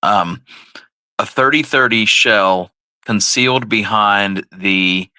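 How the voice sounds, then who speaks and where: A man's voice: lowish voice, takes it slowly, sharp tone, no room sound, poor audio. A man co-hosting a show, musing aloud and posing questions in casual, speculative discussion. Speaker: co-host of a radio history show.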